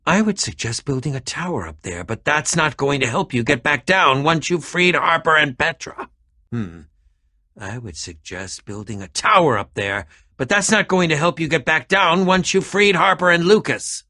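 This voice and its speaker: An actor playing an eccentric, excitable adventurer and potion maker in a video game, with fussy, theatrical delivery and dramatic emphasis. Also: male voice, fairly high pitch, moderate pace, no echo, clean studio sound, heavily acted. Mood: dry, thoughtful. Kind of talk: animated video game character acting.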